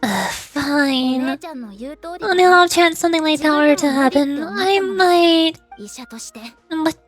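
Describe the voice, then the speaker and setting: A woman's voice: high voice, slow pace, soft background music. A woman voicing a stubborn, dutiful young female doctor from a game, a defensive little sister.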